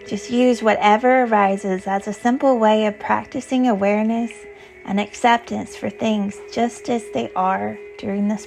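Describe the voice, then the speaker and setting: A woman leading a guided yoga nidra meditation as a teacher, with a soothing, gentle, unhurried manner of instruction. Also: mid-range voice, creaky, poor audio.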